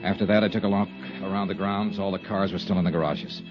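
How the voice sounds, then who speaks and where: An actor playing a calm, firm male insurance investigator who narrates in a steady, clipped way and is firm when he confronts someone, in 1950s radio drama style, on a muffled old broadcast with music. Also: sits low, fast, lightly acted. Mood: narrating. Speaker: narrator and lead.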